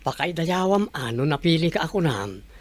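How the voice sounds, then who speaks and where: A man's voice: fairly high pitch, big pitch swings, moderate pace. A man playing a supportive, encouraging father in an audio drama. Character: supportive, encouraging father talking with his daughter. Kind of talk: Ilocano audio drama acting.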